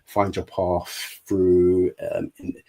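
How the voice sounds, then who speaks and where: A middle-aged man with a low-pitched, mellow voice talks through his career as a guest on a remote interview. He stutters, so words and sounds repeat as he goes. He speaks in an easy, conversational way. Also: monotone, slow, rough recording. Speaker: guest, therapist and life coach.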